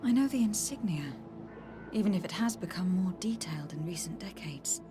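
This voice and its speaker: A woman's voice: on the low side, lively intonation, normal speed, over music, close to an everyday voice. An actress playing a composed, inquisitive noblewoman envoy in a fantasy game, speaking formally and earnestly. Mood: grave, intent. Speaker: voice actor in a fantasy video game. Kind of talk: game dialogue.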